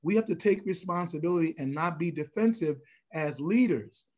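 An older man and church leader on a video call panel, speaking with forceful, preacher-like emphasis. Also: fairly high pitch, expressive, normal speed. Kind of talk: panel discussion. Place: room at home.

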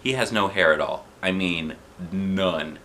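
A man reading a children's book aloud in an expressive, playful way, with chatty asides. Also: low voice, unhurried, a little hiss or hum. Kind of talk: animated storybook read-aloud with chatty asides. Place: living room sofa, indoors. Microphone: camera a short distance away.

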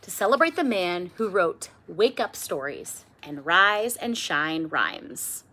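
A middle-aged woman reading a children's picture book aloud expressively, with theatrical emphasis and drawn-out words. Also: fairly low pitch, big pitch swings, pushed and bright, a little hiss or hum. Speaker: storybook reader. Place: small indoor room with bookshelves.

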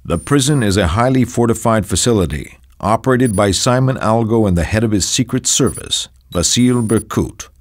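Video game spymaster: lowish voice, formal and grave, briefs an agent with measured authority. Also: male voice. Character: authoritative male spy agency director giving a briefing. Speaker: mission briefing narrator. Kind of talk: scripted mission briefing narration.

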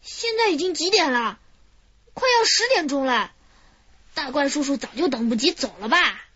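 An actress playing an eager, chattering young apprentice thief in a radio drama. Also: female voice, high-pitched, lively intonation, normal speed, pushed and bright, no room sound, dull audio. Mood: teasing.

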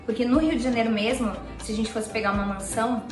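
Brief: young woman guest, gaúcho Brazilian accent, relaxed and chatty, voice a bit high, echoey room. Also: fast, distant mic, over music. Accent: southern Brazilian, gaúcho. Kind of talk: casual interview chat. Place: indoor living room on a sofa. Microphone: handheld mic from a few feet.

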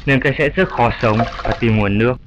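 Casting: old grandfather fruit bat played by a man for a cartoon, medium pitch, patient scripted explainer, slight background noise. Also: highs cut off, natural voice. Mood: instructive. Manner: didactic, measured. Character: old grandfather flying fox explaining climate to youngster.

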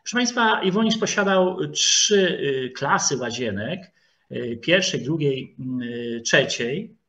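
A man giving an online lecture with slides, speaking haltingly with frequent drawn-out filler sounds. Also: fairly high pitch, expressive, bright, forceful tone. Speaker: lecturer, historian. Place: online video call. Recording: dull, lo-fi video call audio.

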